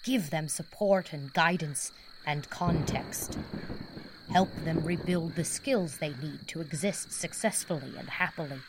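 A woman playing a reflective guide to ghosts, narrating an audio drama in a measured, earnest way. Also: deep voice, very noisy.

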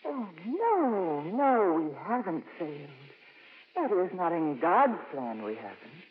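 An actress playing a devout, consoling nun, speaking with earnest, tender formality in 1950s radio drama style. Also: female voice, fairly low pitch, very animated, slow pace. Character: gentle, devout, hopeful, consoling nun, adult woman. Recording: dull old radio broadcast.

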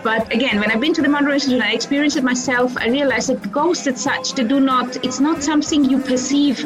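A woman from Croatia, raised in Austria, talks reflectively as a podcast guest, often restarting her sentences. Her voice sits a little high. Music plays clearly beneath the conversation. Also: fast, rough recording. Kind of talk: podcast interview.

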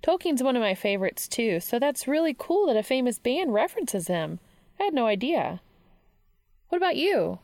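Woman as bookish teen girl for audiobook dialogue: high, chatty, eager, kind. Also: big pitch swings, moderate pace, a little mellow, no room sound, studio quality. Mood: bright, eager. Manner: chatty, upbeat, questioning.

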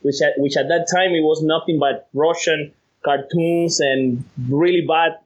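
A man from Cuba speaks English with a Cuban accent, cheerful and quick to laugh as he tells his story. His voice sits a little high. The sound is dull and lo-fi, like a remote line. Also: steady tone. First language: Spanish. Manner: cheerful, laughs easily, rambling. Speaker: podcast guest. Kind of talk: casual phone interview.

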